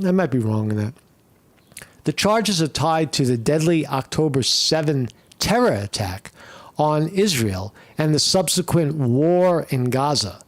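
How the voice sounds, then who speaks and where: A man's voice: medium pitch, no room sound. A man giving rambling, profane commentary while watching videos, full of fillers and restarts. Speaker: commentary streamer.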